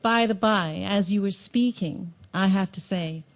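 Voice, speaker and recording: A woman from Tanzania presenting a report at a meeting, speaking earnestly with some halting restarts, in a meeting room. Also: mid-range voice, dull audio. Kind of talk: conference presentation.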